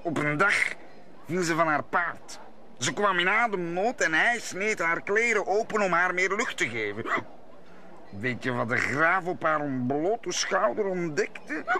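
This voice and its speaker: Slow, bitter nobleman musketeer. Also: high-pitched, expressive, background noise. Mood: bitter, dramatic. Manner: deliberate, dramatic storytelling. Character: brooding, bitter nobleman musketeer, worldly and grave. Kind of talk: old Dutch radio play acting.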